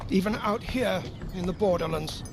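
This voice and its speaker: A man playing a loyal, older noble uncle in an audio game, speaking in a measured, dryly honest, reassuring way. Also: sits high, noisy background. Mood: gentle, dry. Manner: measured, reassuring, dry humour. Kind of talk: audio game drama acting. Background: horse hooves and outdoor ambience.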